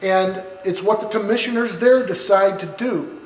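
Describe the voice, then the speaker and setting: A man on the council speaking at a public meeting in an earnest, conciliatory, somewhat halting way. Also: sits high. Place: city council chamber. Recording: echoey meeting room.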